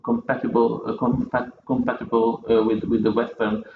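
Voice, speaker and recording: A man talking casually at a table, halting and restarting his sentences often. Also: medium pitch, little pitch movement. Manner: halting, many restarts and repeated words. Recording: echoey, dull room sound with faint noise.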